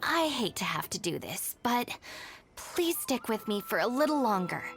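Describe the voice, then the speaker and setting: A woman's voice: on the high side, sing-song, normal speed, faint music behind. A woman playing a reserved, dutiful young gang deputy in a video game, polite, restrained and matter-of-fact. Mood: resigned, awkward. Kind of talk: English video game voice acting.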